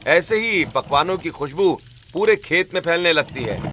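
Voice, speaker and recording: A man narrating a scripted cartoon story in an expressive storytelling way, with music behind him. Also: fairly high pitch, moderate pace. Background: background music.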